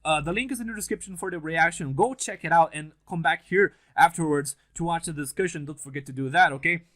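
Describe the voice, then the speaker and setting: A young man whose first language is Portuguese, with a slightly higher, keen and bright-edged voice. He chats casually to camera, swearing, laughing and restarting his sentences in his hype. The sound comes from a stand mic set a little back in a small home setup. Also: fast talker. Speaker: anime reaction channel host. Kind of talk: casual reaction commentary to camera. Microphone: mic on a stand, set a bit farther than usual.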